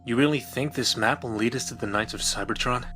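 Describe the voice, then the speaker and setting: A man's voice: mid-range voice, a little fast, music in the background, natural voice. A man playing an impatient, defiant, headstrong robot in an audio drama. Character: impatient, headstrong robot rebel eager to leave.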